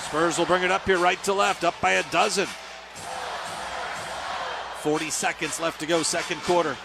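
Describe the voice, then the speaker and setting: A man's voice: high voice, fairly even intonation, moderate pace, creaky, over loud music, over a noisy crowd. An American man calling live basketball play-by-play on the radio in clipped, fragmentary phrases. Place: live sports broadcast from the game.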